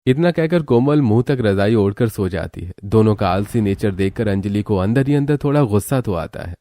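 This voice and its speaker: Low, mellow male storyteller. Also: animated, normal speed, dry recording. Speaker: narrator. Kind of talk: scripted storytelling narration for a cartoon.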